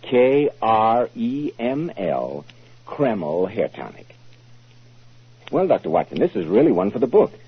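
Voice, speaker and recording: A man's voice: on the low side, sing-song, measured pace, husky. A man with an American accent, a 1940s radio announcer and host with a polished, emphatic sales delivery. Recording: muffled 1940s radio broadcast recording.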